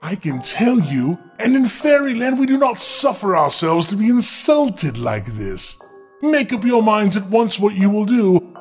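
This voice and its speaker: Man putting on a menacing, high-voiced ancient fairy crone. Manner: theatrical, threatening proclamations.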